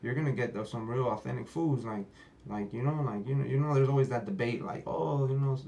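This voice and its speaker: A man's voice: medium pitch, brisk, creaky, warm tone. A man whose first language is Spanish, talking loosely as a podcast guest, rambling with lots of fillers and casual swearing. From United States.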